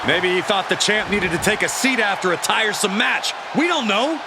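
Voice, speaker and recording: Game role: sarcastic male color commentator, high-pitched, over a roaring crowd. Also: lively intonation, normal speed, heavy background noise. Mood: sarcastic, dismissive. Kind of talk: wrestling match commentary. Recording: loud music and crowd behind.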